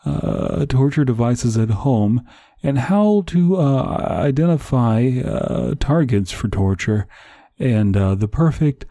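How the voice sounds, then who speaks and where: A man playing an earnest, hesitant host of a parody Italian-heritage podcast, speaking haltingly with many uhs. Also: medium pitch, lively intonation, warm tone, no room sound, studio quality. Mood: halting, deadpan.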